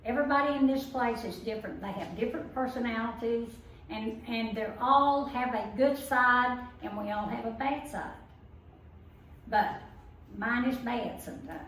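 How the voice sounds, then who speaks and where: A woman's voice: on the high side, moderate pace, a bit bright, slight background noise. A woman in her eighties speaking earnestly and candidly about her upbringing and caring for people. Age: 80s. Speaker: interviewee. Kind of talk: casual reflective talk. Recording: very echoey room, distant mic.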